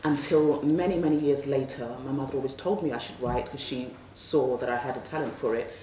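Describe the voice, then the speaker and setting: A woman on a festival panel, speaking thoughtfully and personally about writing, slowing on key phrases. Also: extremely low pitch, dark tone, slight background noise. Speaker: panelist, novelist. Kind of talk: reflective panel talk. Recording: echoey room, mic at a distance, faint ambience.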